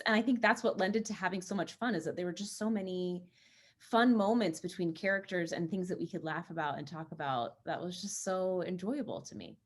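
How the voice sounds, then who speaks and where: A woman, an actress, talking casually and conversationally with castmates, peppering her sentences with "like". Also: fairly low pitch. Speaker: actress, cast member. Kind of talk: casual chat.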